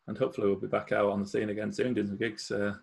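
Fast, gravelly-voiced male host. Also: fairly low pitch, flat delivery. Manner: quick anecdotal storytelling, laughs often. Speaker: musician. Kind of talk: casual chat.

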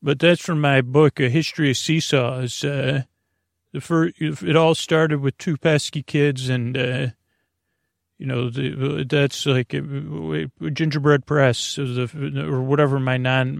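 Gravelly, meandering male bedtime podcaster. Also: medium pitch, fairly even intonation, no echo, studio quality. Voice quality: gravelly, creaky. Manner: meandering, halting, over-explaining, trailing off. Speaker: podcast host. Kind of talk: rambling bedtime podcast monologue.

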